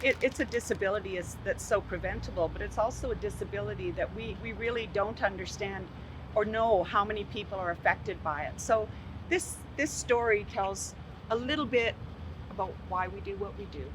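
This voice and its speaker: A woman reading a short inspirational story aloud as a guest, in a measured storytelling manner, then speaking directly to listeners. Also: medium pitch, noisy background. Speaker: guest reader.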